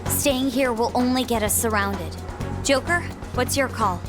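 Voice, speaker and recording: A woman's voice: a little high, measured pace, natural voice. An actress playing a composed, level-headed young woman in a video game cutscene, speaking firmly. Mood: grave. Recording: music behind the voice.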